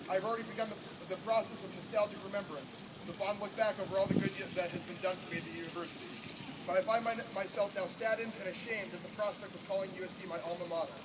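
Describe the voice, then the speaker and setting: A young man in his twenties, a student, reading his own letter aloud at a protest in a formal, earnest way. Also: high voice. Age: 20s. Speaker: student speaker. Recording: loud background noise outdoors.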